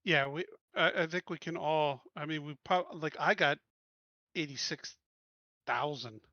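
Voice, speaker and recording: A man in a tabletop game session who keeps organizing the group and stumbles over his restarts. His voice is bright and a little high, and the sound is dull and lo-fi, with no room echo. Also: unhurried. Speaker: player. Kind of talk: casual tabletop game chat.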